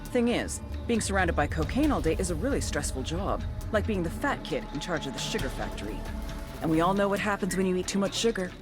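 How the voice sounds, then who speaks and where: An actress playing a tough, sardonic female intelligence agent, narrating a game briefing in a wry, foul-mouthed way over music. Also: fairly low pitch. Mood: wry, cool. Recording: music behind the voice.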